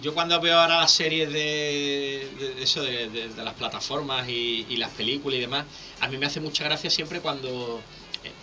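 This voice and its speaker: Bright, forceful man. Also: medium pitch, normal speed. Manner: lively, explanatory, teacherly. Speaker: public speaking coach, guest. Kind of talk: conversational radio interview.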